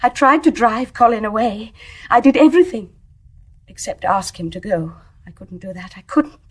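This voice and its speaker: An actress playing an anguished, impassioned young wife in a radio drama, earnest and emotional. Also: female voice, mid-range voice, expressive, moderate pace, breathy edge. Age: young adult. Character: anguished, impassioned young wife, ashamed yet defiant in love. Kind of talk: stage-play radio drama acting.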